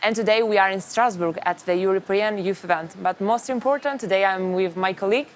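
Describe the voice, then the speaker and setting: A woman's voice: mid-range voice, a little fast, a little hiss or hum. A young woman, interviewing fellow young journalists at an event. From Italy. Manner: upbeat, leads with questions. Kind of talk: casual on-the-spot interview. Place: busy youth event venue.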